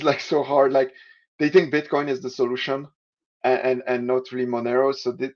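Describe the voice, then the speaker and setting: Man, from Switzerland, halting with fillers, middle pitch, dull lo-fi call sound. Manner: halting, many restarts and fillers. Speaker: podcast guest. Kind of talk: casual interview answers. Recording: dull lo-fi audio.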